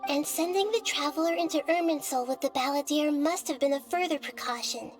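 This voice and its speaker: An actress playing a composed, shrewd young scholarly woman in an anime video game, speaking in a measured, precise, deliberate way. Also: high-pitched, faint music behind, natural voice. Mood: calm, explanatory.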